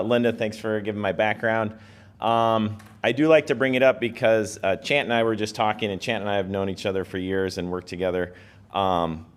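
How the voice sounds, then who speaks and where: A hoarse man giving an informal presentation to an audience as a guest speaker, speaking in a plain, conversational way. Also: low-pitched. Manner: conversational, plain-spoken, self-effacing.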